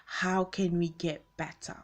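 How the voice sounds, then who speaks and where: A woman's voice: fairly low pitch, level tone, measured pace. A woman in her late twenties, from Belgium and born in Congo, talking unscripted to online followers in a conversational way, often rephrasing and posing questions. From born in Congo, grew up in Belgium, moved to the UK as a teenager. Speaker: video host speaking to followers. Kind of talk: unscripted personal talk to an online audience.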